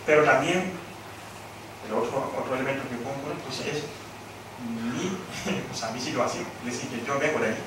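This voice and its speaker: A man's voice: medium pitch, normal speed, background noise. A man from Senegal giving a talk on a panel for an aid organization. He hesitates, repeats words and lists his points one by one. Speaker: NGO speaker at a panel. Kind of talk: conference talk. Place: large hall with audience. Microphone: table mic at a panel, recorded from far off. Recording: echoey hall, far from the camera mic.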